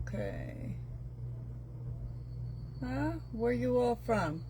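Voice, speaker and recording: A woman hosting a live craft stream speaks in an unhurried, drawn-out way. She chats casually with her viewers and a guest, stopping often on small fillers. The sound comes through a computer mic on a live video call. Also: a little high, very animated, noisy background. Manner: chatty, reads out viewers' locations, halting with fillers.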